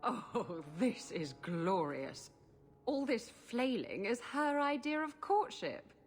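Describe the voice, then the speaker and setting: An actress playing a brazen, bawdy female rogue in a video game, husky-voiced, sly and teasing. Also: on the high side, big pitch swings, slow, soft background music, full character voice. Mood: gleeful teasing. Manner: sly, mocking, drawling. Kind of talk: video game character acting.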